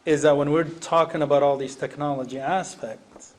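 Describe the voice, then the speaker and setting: A man's voice: fairly high pitch, fairly even intonation, moderate pace. A man from Iraq speaking unscripted on a panel, emphatic, repeating phrases and posing rhetorical questions. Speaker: education panelist, former science teacher. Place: live panel event.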